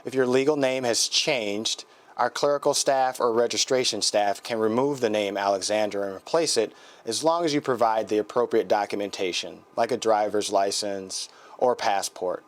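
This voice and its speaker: Man playing a polite, lower-pitched American clinic receptionist. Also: moderate pace, natural voice. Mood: reassuring, explanatory. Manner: courteous, accommodating, procedural. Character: polite, helpful clinic receptionist taking a patient's call. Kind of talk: scripted training role-play phone call.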